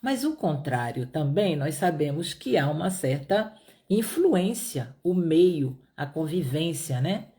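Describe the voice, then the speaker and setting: Low mellow woman with a Pará accent. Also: very animated, slow. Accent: Pará, Brazil. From Brazilian Northeast (Piauí). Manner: conversational, repeats points, asks listeners "né?". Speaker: Bible teacher. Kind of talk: Bible study teaching.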